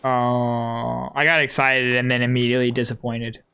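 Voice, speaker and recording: A game streamer giving casual, slangy, excitable live reactions and reading bits aloud. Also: mid-range voice, normal speed, vocal fry. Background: game music.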